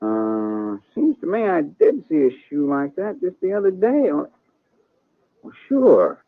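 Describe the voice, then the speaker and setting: An actor playing a talkative, friendly small-town blacksmith in an old radio western, with a husky voice and a rural Western American drawl. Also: male voice, a little high, wildly swooping pitch, slow, dry recording, exaggerated voice. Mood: recollecting. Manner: folksy, garrulous, drawling. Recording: dry, muffled old radio recording.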